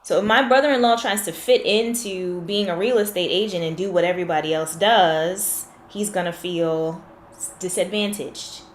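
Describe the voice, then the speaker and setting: A woman's voice: lowish voice, moderate pace, echoey room, a little hiss or hum. A woman confidence coach in a one-on-one session, explaining with examples and asking probing questions.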